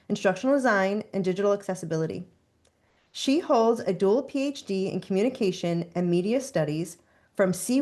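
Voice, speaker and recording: A woman hosts an online session over a video call. She reads announcements and an introduction in a mid-pitched, even voice and keeps a steady scripted delivery. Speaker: webinar moderator.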